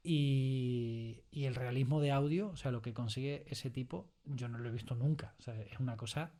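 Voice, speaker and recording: Male interview guest, Spain accent, mellow mid-range voice, conversational, emphatic through repetition. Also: mid-range voice, normal speed. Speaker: audio fiction scriptwriter, interview guest.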